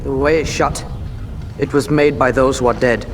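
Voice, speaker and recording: Actor as stern, echoing fantasy figure. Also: male voice, mid-range voice, steady tone, normal speed, natural voice. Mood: stern, ominous. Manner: stern, declamatory. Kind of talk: dramatic fantasy game acting. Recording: long hall reverb, music behind.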